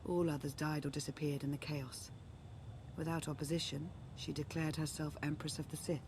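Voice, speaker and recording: An actress playing a composed, earnest female Sith strategist and loyal ally in a video game, speaking in a measured, formal, articulate way. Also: deep voice, little pitch movement, noisy background, natural voice. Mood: grave, composed. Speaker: advisor and ally. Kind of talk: scripted game dialogue, briefing.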